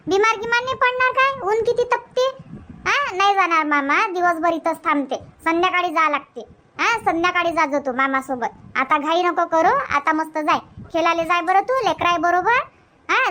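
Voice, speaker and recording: A woman's voice: very high-pitched, fairly even intonation, normal speed, sharp tone. A woman voicing a busy young village mother in a cartoon comedy, nagging and repetitive, with a Varhadi Marathi accent. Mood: exasperated, instructing.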